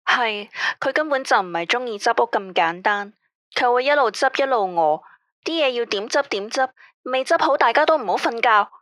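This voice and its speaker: Anxious, weary caller with a Hong Kong Cantonese accent, higher voice, dry close sound, played by a woman. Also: lively intonation, normal speed, fairly bright tone, no room sound. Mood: uneasy. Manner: tense, complaining, weary. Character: female caller, anxious about a controlling ex-husband. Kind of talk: radio drama acting.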